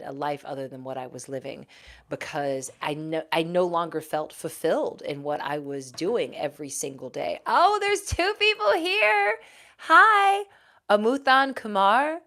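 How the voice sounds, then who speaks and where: Woman, 40s, low voice, ex-news anchor, casual livestream host. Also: fairly bright tone. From Houston, Texas. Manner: chatty, reads out viewer comments, laughs often. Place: home.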